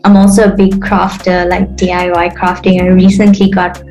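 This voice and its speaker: A South African woman in her thirties chatting warmly with a stranger on a video call, friendly, with lots of fillers. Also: fairly low pitch, flat delivery, moderate pace, faint music behind. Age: 30s. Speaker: participant. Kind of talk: casual video call chat.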